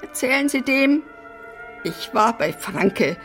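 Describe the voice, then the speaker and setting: An actress playing a brash, eccentric apartment resident in a radio drama, theatrical, flippant and defiant. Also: female voice, fairly high pitch, expressive, slow pace, a bit bright, soft background music. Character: brash, eccentric, bossy female apartment resident opening others' letters. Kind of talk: German radio drama acting.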